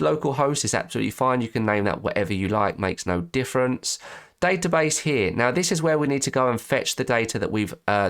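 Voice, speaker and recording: A man with a stuffy nose and slightly croaky voice, chatting through a software tutorial and correcting himself as he clicks along. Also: mid-range voice. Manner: chatty, restarts and self-corrects while clicking. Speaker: web design tutor. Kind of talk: screen-recorded software tutorial.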